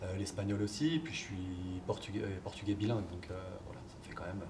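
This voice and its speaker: A man, a football coach, answering interview questions in a relaxed, hesitant way with many fillers. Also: sits low, fast. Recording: distant mic, background noise.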